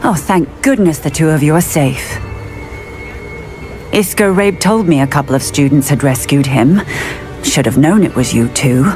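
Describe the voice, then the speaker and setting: A woman's voice: low voice, animated, normal speed, darkish tone, soft background music. A woman playing a protective, authoritative wizard police officer in a video game, with formal speech. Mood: stern, relieved.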